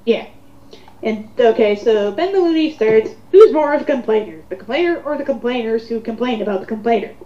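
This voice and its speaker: A woman's voice: a little high, expressive, a little fast, slight background noise. A woman co-hosting a casual commentary, reading online comments aloud and remarking on them. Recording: roomy, dull lo-fi home recording.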